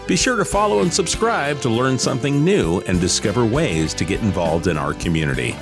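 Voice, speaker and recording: A man's voice: mid-range voice, expressive, brisk. A man reading a scripted promotional introduction as a podcast announcer, with guitar music playing behind him. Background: plucked guitar music.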